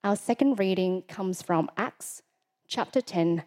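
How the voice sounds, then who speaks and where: A woman reading scripture aloud in church in a measured, formal way. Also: medium pitch, a little mellow. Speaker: scripture reader.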